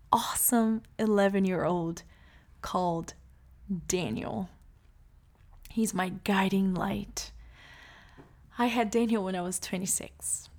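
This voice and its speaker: A woman in her late thirties from Brazil, calmly telling her life story in a reflective monologue. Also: mid-range voice. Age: 30s.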